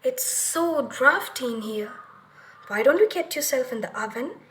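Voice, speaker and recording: A woman's voice: high voice, expressive, a little slow, a bit bright. A woman voicing a sly old witch in a children's story, coaxing and sugary with an insistent edge.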